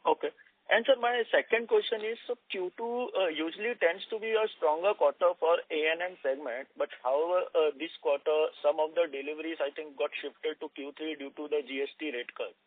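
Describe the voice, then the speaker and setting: A man's voice: a little high, brisk. A man with an Indian accent asking questions as an analyst on an earnings call, hesitant and repeating words. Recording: thin tinny telephone line.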